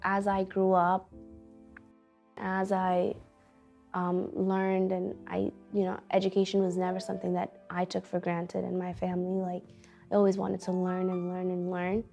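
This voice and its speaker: A young woman who is a teacher, telling her family's story in an earnest, halting way with many fillers. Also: on the low side, monotone, vocal fry, warm tone. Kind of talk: personal storytelling interview. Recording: faint background music.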